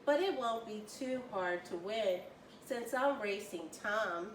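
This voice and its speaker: A woman's voice: mid-range voice, expressive, slow. A cocky male hare from a storybook, played by a woman. The voice swings expressively and takes its time. It sounds roomy, a little away from the mic. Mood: cocky, breezy. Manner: boastful, breezy. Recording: roomy, distant mic, faint noise.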